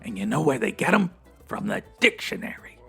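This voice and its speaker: A breezy hustler who manages a strip club in a radio detective comedy. His voice is high for a man and gravelly, swooping about as he rolls out hipster slang and florid big words. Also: very animated, brisk, soft background music, full character voice. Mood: chatty, pleased. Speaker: actor in a comedy audio drama. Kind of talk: comedic old-time radio detective acting.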